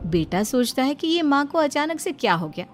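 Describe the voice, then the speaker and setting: A woman's voice: high-pitched, big pitch swings, moderate pace, soft background music. A woman storyteller delivering scripted narration for a cartoon family moral tale.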